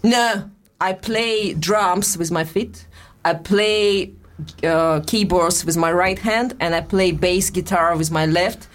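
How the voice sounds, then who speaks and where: A young adult woman with a Russian accent speaks in a fairly low voice. She talks in halting, broken English, stopping and restarting her sentences as she chats in an interview. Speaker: guest, boxer and musician. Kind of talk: casual interview chat.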